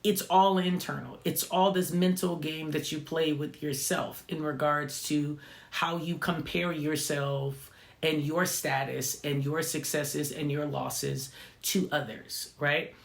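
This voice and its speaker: A woman of about fifty with a low voice she calls not feminine, hosting a reflective vlog and talking conversationally, often tagging lines with "right?". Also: fairly even intonation, takes it slowly, gravelly. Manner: conversational, often adding "right?" tag questions. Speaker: channel host. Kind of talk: reflective motivational vlog talk.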